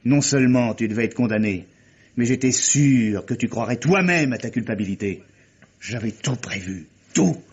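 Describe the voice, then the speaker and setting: Radio mystery villain: sardonic, scheming nephew, husky delivery, dull old-radio sound. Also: male voice, medium pitch, expressive, moderate pace. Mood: bitter, boasting. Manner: sardonic, coolly cutting. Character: cynical, calculating murderer nephew taunting his guilty accomplice. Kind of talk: French radio mystery drama acting. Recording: muffled old radio drama recording.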